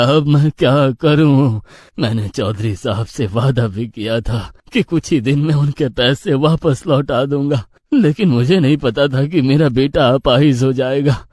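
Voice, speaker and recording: A man acting a poor old farmer in a cartoon story, husky, humble and pleading. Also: mid-range voice, darkish tone, no echo, studio quality, natural voice. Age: older. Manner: pleading, troubled.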